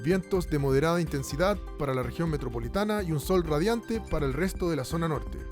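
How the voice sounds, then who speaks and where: A man's voice: fairly high pitch, expressive, fast talker, music in the background, close to an everyday voice. An actor playing a male radio host of a future broadcast in a radio drama, with an announcer's cadence broken by hesitant fillers.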